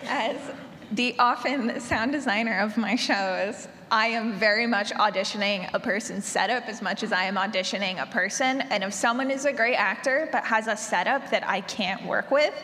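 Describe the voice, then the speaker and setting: A woman's voice: mid-range voice, normal speed, pushed and bright. A woman speaking conversationally on a panel as an audio drama creator and sound designer. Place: large convention room, live audience. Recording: echoey hall, long reverb.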